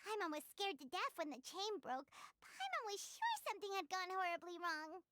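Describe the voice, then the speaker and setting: A woman voicing a tiny, childlike floating companion in a video game, with a squeaky, chirpy, cartoonish delivery. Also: sing-song, normal speed, sharp tone, no room sound, exaggerated voice. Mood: concerned. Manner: squeaky, chirpy, refers to self by name.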